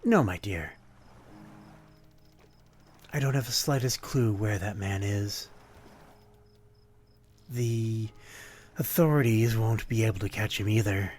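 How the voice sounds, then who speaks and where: A man's voice: lowish voice, slow, pauses often, darkish tone, slight background noise. A man playing a melancholy, courtly vampire in an intimate ASMR roleplay, speaking politely in old-fashioned phrasing with a predatory undertone. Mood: wistful, resigned. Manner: old-fashioned, polite, brooding, addressing one listener intimately. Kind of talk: ASMR roleplay, dramatic monologue.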